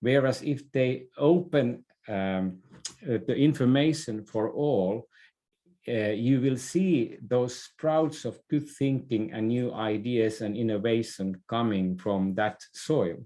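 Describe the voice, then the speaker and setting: A man speaking as an interview guest, explaining ideas in an earnest, reflective way with halting phrasing. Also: medium pitch, mellow. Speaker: podcast guest, business expert.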